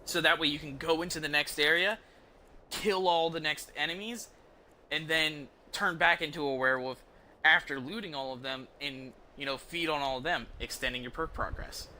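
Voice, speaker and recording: A man's voice: a little high, moderate pace, bright, forceful tone. A young American man giving casual gameplay commentary as a streamer, rambling with slang and swearing over game music.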